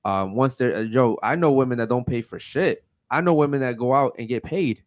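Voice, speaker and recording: A man hosts a casual panel show in a slangy, bantering way. He drops bro and man often, and his mid-pitched voice is recorded close and dry, with very little room sound. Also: fairly quick, dry recording. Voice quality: deep voice. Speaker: podcast host.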